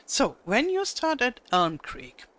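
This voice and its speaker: A woman's voice: a little high, wildly swooping pitch, slow, a bit bright. An older woman with a German accent, German being her first language, patiently guiding viewers step by step through a game tutorial with frequent small hesitations. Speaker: gaming tutorial host. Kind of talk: casual game tutorial narration.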